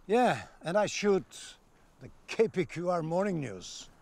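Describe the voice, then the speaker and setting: A man's voice: high-pitched, very animated, measured pace, vocal fry, darkish tone, exaggerated voice. An actor in his sixties playing a weary, sardonic news cameraman in a film drama, speaking outdoors. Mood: wry. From Hungary. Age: 60s. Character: weary, wry older male news cameraman. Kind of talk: film acting, dramatic dialogue. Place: outdoors in an open field. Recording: noisy open-air film dialogue.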